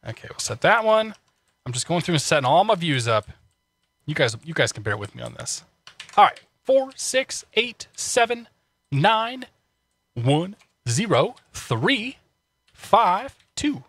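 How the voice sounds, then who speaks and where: A man's voice: high voice, big pitch swings, measured pace, pauses often, a bit bright, breathy edge. A man streaming a flight sim, chatting casually with viewers, with a slightly congested voice and many restarts. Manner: chatty, frequent restarts, laughs often. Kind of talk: casual live-stream chat.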